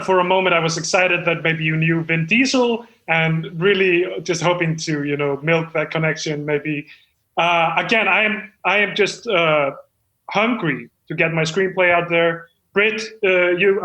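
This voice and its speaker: A man's voice: sits high, pushed and bright, poor audio. A man playing an eager, insecure amateur screenwriter in improvised comedy, speaking in an earnest, hesitant, rambling way. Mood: hopeful, nervous. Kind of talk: improvised comedy podcast role-play.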